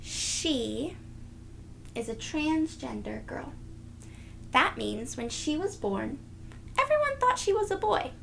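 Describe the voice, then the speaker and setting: A woman reading a children's picture book aloud as a storyteller, in a deliberate, teacherly, sing-song way for young listeners. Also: high-pitched, very animated, creaky, a bit bright, slight background noise.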